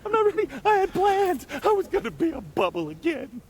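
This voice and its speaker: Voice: very high voice, huge pitch swings, brisk, a little mellow, slight background noise, heavily acted. An actor voicing a frightened, whiny piece of chewed bubble gum in an audio comedy, plaintive and stammering. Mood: terrified. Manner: plaintive, stammering, repeats phrases. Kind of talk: comedic audio drama acting.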